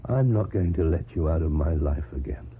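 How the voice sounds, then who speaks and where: A man's voice: a bass voice, lively intonation, a little fast, heavily acted. A man acting in a radio drama as an earnest, devoted lover who looks back on his past, tender and hesitant. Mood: earnest, loving. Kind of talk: radio drama acting and first-person narration. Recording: muffled narrow-band old radio recording, clear noise.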